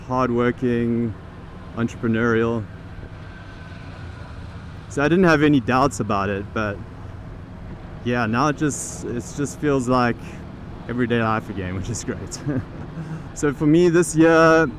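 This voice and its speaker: A man talking casually and thinking aloud as he rides a motorbike through city traffic outdoors. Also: medium pitch. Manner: relaxed, chatty, thinking aloud with restarts. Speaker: vlogger and teaching program founder. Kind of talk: casual talk to camera while riding. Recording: traffic noise behind.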